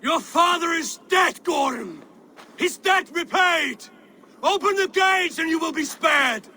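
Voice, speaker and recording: High-voiced, vengeful Viking warrior. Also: male voice, lively intonation, slow, sharp tone, shouting, slight background noise, full character voice. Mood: battle fury. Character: fierce vengeful Viking warrior, loyal to clan. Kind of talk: dramatic game cutscene acting.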